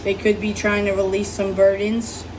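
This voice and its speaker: Sick woman with a rough, hoarse voice. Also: medium pitch, completely flat delivery, moderate pace, far from the mic, background noise. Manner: rambling, apologetic, many fillers. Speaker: tarot reader. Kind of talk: casual tarot reading monologue.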